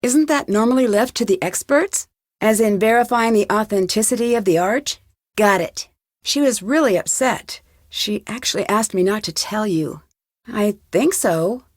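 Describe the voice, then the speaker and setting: A woman's voice: mid-range voice, normal speed, dry recording, clean studio sound, lightly acted. An actress playing an inquisitive, polite young woman detective in a video game, speaking in an earnest, questioning way. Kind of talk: video game dialogue acting.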